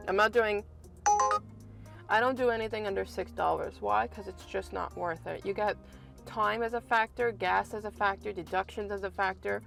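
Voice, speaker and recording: A woman delivery driver from Canada, raspy and congested from a cold, giving a frank, exasperated vlog from her car. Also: mid-range voice. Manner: frank, exasperated ranting with many restarts. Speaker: food delivery driver and vlogger. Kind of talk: casual vlog talk to camera.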